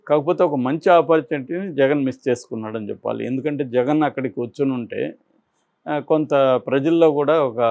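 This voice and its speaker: A man gives political analysis in a medium-pitched voice. He speaks unscripted, breaking his commentary into halting phrases with frequent pauses. The sound is dry, with very little room. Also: darkish tone, dry recording.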